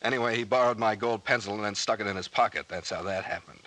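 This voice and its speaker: A man's voice: on the low side, fast talker, sharp tone, low-quality recording. A man acting in an old-time radio drama as a confident, genial sea captain sleuth with an American accent, explaining things in a self-assured way.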